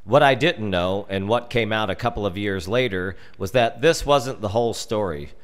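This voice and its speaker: An American man reading a scripted story as narrator, theatrically, with dramatic pauses. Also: lowish voice, lively intonation. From United States. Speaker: storyteller reading his comic family memoir.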